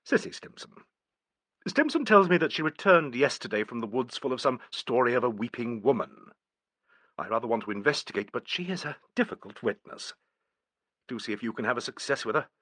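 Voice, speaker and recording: A man voicing a brisk police inspector who speaks crisply and coaxingly. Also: medium pitch, expressive, fairly bright tone, dry recording, studio quality.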